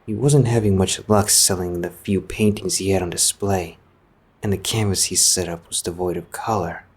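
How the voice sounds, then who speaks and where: A man's voice: low voice, fairly even intonation, moderate pace, husky. A man narrating a scripted story as a storyteller. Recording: music behind the voice.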